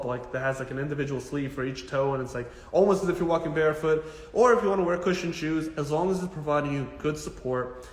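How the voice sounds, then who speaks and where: Male physio host, slightly high voice, informal and punchy advice, repeats points for emphasis, recorded in a reverberant room. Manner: animated, blunt, jokey, emphatic repetition. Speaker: physical therapist hosting a live Q&A. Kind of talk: casual informal health advice, answering viewer questions.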